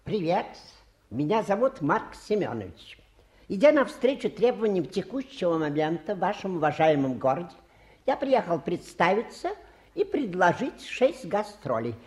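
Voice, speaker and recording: A man's voice: high voice, sing-song, moderate pace, darkish tone. An actor playing a self-important, old-fashioned provincial old man, a touring showman, in a 1950s radio play. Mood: pompous, insistent. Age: older. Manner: pompous, grandiloquent.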